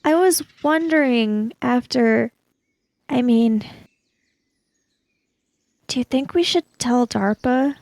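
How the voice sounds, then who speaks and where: A woman roleplaying an anxious, questioning kid in a 1980s sci-fi mystery tabletop game, rambling through questions in a creaky voice. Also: a little high, slow pace, long pauses, a little mellow, dry recording, clean studio sound. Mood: worried. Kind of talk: improvised in-character tabletop roleplay.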